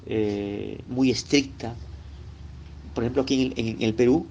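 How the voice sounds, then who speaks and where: A Peruvian man from Lima, with a Lima accent and a voice of ordinary middle pitch, gives a recorded Bible lesson. He teaches in a chatty way, speaking straight to the listener and checking in with little tag questions. A faint hiss of noise sits under his voice. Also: steady tone, a little slow, vocal fry, a little mellow, dull audio. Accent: Peruvian Spanish, Lima. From Peru.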